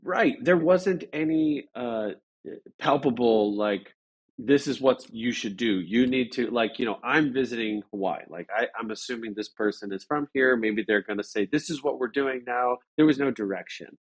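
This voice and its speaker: A man speaking as an interview guest, telling a story casually in a halting, rambling way with repeated phrases. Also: mid-range voice.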